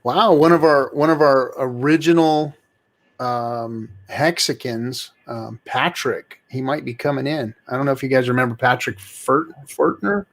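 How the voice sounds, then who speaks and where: A man's voice: mid-range voice, unhurried, a bit bright. A man hosting a casual online stream, swearing freely and repeating words as he talks. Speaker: host. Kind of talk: casual chat.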